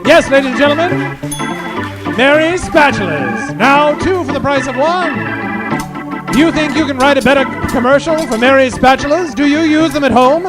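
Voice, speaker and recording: A man's voice: extremely high pitch, lively intonation, normal speed, loud music behind the voice, poor audio. A man announcing and narrating in a 1940s radio serial style, theatrical and grandly suspenseful.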